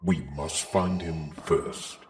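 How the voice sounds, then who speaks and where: A man playing a menacing armored Sith lord in a comic dub, measured, formal and commanding. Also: very deep voice, fairly even intonation, a little mellow, slight background noise. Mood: cold, commanding. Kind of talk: dramatic comic dub acting.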